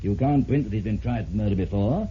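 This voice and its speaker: Low, brisk police inspector, played by a man. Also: lively intonation, dark tone, lightly acted. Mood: dry, firm. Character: brisk, authoritative male British police inspector. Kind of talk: vintage radio drama acting. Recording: muffled, hissy vintage radio recording.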